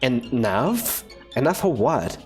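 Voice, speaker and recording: A woman's voice: extremely low pitch, sing-song, slow, darkish tone, faint music behind, exaggerated voice. A woman doing a jittery young male student. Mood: flustered. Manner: jittery, stammering, anxious. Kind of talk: fan game voice acting.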